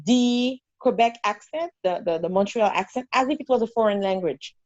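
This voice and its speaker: A woman with a Haitian accent talks through an interview in a lively, storytelling way, often restarting sentences. Her voice sounds dull and lo-fi, and the recording is dry, with no room sound. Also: a little high, moderate pace, dry recording. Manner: animated storyteller, many fillers and restarts. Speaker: guest. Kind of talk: conversational interview.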